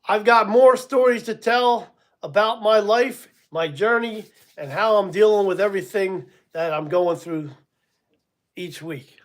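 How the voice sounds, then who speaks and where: A man's voice: high-pitched, a little slow. A man in his sixties hosting a casual live stream, rambling a little, chuckling and answering viewers by name. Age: 60s. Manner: casual, rambling, chuckles at himself, many ums. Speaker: livestream host.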